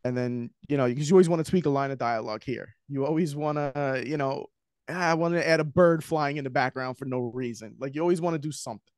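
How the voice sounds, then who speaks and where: A man's voice: mid-range voice, fast, clear, resonant tone, no echo, clean studio sound. A man in his forties with a New York accent, a screenwriter talking casually and animatedly as a guest on a video call. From Queens, New York. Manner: talkative, animated, gestures while talking. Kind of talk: casual interview chat. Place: home room with shelves. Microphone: studio mic with headphones, video call.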